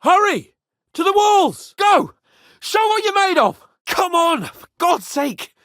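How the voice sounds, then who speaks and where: A man's voice: extremely high pitch, big pitch swings, slow, a bit bright, no room sound, studio quality, exaggerated voice. An actor voicing a young medieval nobleman in a video game, imperious, playful and hot-tempered, with a teasing, dramatic delivery. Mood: urgent shouting. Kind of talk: video game character acting.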